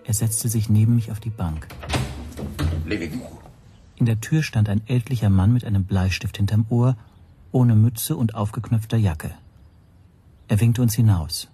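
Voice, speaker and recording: Low, mellow, anxious male narrator. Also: steady tone, slightly rough, soft background music. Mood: uneasy, measured. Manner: measured, introspective storytelling. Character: anxious foreign male language teacher, recalling his ordeal. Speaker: first-person narrator. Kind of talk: literary radio play narration.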